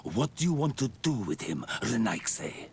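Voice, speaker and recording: An actor playing a hostile, hot-headed island warrior in a video game, accusing and contemptuous. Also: male voice, mid-range voice, sing-song, measured pace, darkish tone, slight background noise. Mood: hostile, indignant. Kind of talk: video game cutscene acting.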